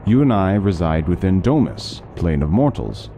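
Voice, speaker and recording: A man's voice: low-pitched, very animated, normal speed, dark tone, a little hiss or hum. A man playing a chatty scholarly doctor. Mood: explaining. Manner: talkative, lecturing, fond of lore. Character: scholarly male doctor, middle-aged, chatty lore lover. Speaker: voice actor. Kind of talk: interactive fantasy audio story.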